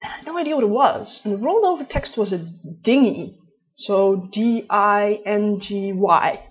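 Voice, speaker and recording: Husky Dutch-accented woman in her late 20s. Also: mid-range voice, normal speed. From Netherlands. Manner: chatty, rambling, with many restarts. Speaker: vlogger. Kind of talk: casual talk to camera. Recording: dull lo-fi recording.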